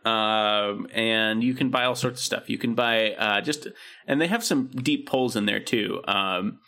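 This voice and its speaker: A man's voice: lowish voice, unhurried, bright, forceful tone. A man co-hosting a podcast, chatting casually and rambling with many fillers and restarts. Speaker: podcast co-host. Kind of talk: casual chat.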